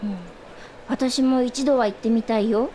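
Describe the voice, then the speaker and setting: A woman's voice: on the high side, steady tone, normal speed, dark tone, a little hiss or hum, lightly acted, rough recording. An actress playing an easygoing, absent-minded young woman with an airy, distracted manner in a comedic radio drama. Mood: dreamy.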